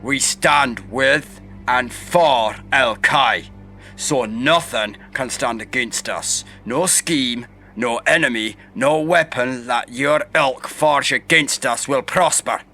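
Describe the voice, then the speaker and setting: A man's voice: mid-range voice, takes it slowly, sharp tone, soft background music. A man playing a steadfast, protective warrior in a fantasy audio drama, with a resolute manner. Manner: reassuring, resolute, says lass.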